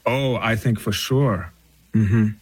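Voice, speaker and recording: A man's voice: lowish voice, takes it slowly, slightly rough, no room sound, rough recording. A man, a counselor speaking as a guest in a conversational interview, reflective, often ending thoughts with "right?".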